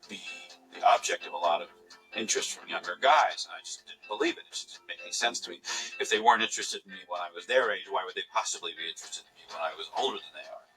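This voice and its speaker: A man's voice: deep voice, fast, music in the background, low-quality recording. A middle-aged man giving his views in an interview testimonial.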